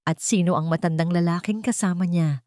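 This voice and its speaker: A woman's voice: fairly low pitch, a little fast, mellow, no echo, studio quality. A woman with a Filipino accent reading a scripted story as a dramatic narrator.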